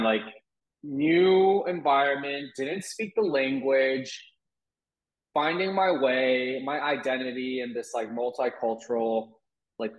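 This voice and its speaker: A young man who grew up partly in Taiwan, with Mandarin as his first language, speaking candidly and reflectively as an interview guest, with frequent restarts. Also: medium pitch. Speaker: wellness guest. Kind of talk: interview conversation. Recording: roomy echo.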